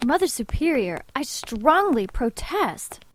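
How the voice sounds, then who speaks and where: Woman playing a high-pitched, outspoken nun. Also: big pitch swings, slow, slight background noise, exaggerated voice. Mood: protesting. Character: earnest, alarmed, outspoken young nun. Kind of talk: audio drama acting.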